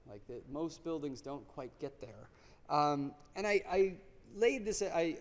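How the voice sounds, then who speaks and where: A man giving a halting spoken introduction for a lecture speaker, with many fillers and restarts. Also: a little high. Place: lecture auditorium. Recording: long auditorium reverb.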